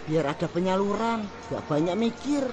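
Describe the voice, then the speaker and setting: A man's voice: high-pitched, lively intonation, normal speed. An actor playing a polite, deferential young man who keeps a food stall in a radio drama.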